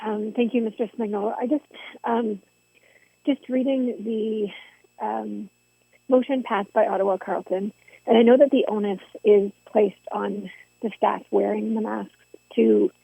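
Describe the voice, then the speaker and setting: A hoarse woman with laryngitis, a school trustee asking polite, hesitant questions at a meeting. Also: medium pitch, steady tone, unhurried, mellow, dry recording. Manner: hesitant, polite, many ums. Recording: tinny phone-line audio.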